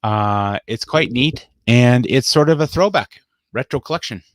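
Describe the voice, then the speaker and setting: A man's voice: mid-range voice, expressive, unhurried, no echo. Chatty man in a dry room. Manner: chatty and upbeat, playful asides. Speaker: vlog host showing his collectibles. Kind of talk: casual show-and-tell chat to camera.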